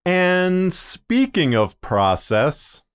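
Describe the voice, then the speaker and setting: A man narrating a scripted video as a podcaster, speaking in measured, deliberate phrases with short pauses. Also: sits high, big pitch swings, smooth. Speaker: podcaster and fiction narrator presenting a video series.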